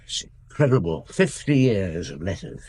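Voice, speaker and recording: Brooding police chief, middle-aged, questioning, lively intonation, thoughtful pauses, radio play. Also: male voice, deep voice, a little hiss or hum. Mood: curious, courteous. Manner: ruminative, questioning, with hums and pauses. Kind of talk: radio drama acting.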